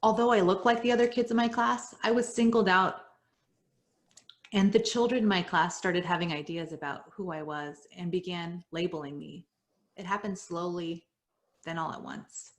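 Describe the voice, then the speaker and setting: A middle-aged woman whose first language is Spanish, reading her personal story in an earnest, measured way that drops softly at the ends of lines. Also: on the low side. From United States. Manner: earnest, measured, dropping soft at line ends. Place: home, virtual event.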